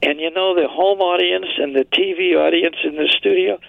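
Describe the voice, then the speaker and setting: A man's voice: high-pitched, fairly even intonation, moderate pace, vocal fry, no echo, narrow, tinny audio. An older man telling anecdotes as a guest historian in a radio interview.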